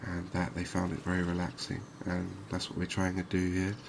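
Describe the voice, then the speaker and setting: A soft-spoken man giving unhurried, soothing ASMR commentary over a video game. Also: low-pitched, monotone, creaky, background noise. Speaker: gaming video host.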